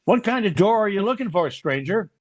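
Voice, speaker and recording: Husky, eccentric shopkeeper. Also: male voice, high-pitched, expressive, a little fast. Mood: salesmanlike. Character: eccentric, folksy door salesman. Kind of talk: screwball radio sketch acting.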